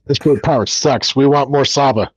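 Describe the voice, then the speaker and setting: Male commentator, casual conversation, average pitch, dull low-fidelity recording. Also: moderate pace, breathy edge, no echo. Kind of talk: casual chat.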